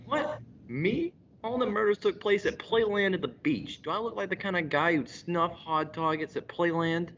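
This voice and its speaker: A man's voice: high-pitched, lively intonation, moderate pace, a bit bright. A teenage man playing a cocky, sneering mob boss in a radio play, with a slangy wise-guy delivery. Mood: indignant, rattled. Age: teens. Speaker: school radio drama actor. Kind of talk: gangster radio-play acting. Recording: dull audio with faint noise.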